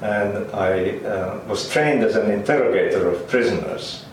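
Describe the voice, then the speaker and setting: An older man from Finland who grew up speaking Swedish, Finnish and German, recalling his life in an interview with hesitant, halting speech. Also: on the low side. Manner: hesitant, many ums and restarts, chuckles. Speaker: interviewee, linguist. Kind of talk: oral history interview. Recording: roomy, distant, faint hiss.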